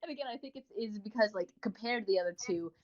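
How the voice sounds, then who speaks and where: A woman's voice: mid-range voice, quick pace, a crowd in the background. A woman co-hosting a podcast in a casual review chat, rambling along with enthusiasm. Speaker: podcast co-host. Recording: dull lo-fi audio.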